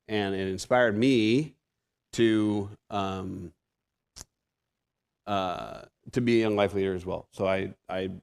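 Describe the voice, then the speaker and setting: Gravelly 50s man preaching a sermon. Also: lowish voice, a little mellow. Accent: Pacific Northwest American. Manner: conversational, self-deprecating, with frequent restarts and fillers. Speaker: guest preacher. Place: church sanctuary.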